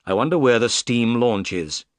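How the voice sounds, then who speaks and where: Male reader as courteous detective, confident, mid-pitched, lively intonation, storybook dialogue, dry close sound. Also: dry recording, close to an everyday voice. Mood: polite, inquiring. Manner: polite, measured, confident.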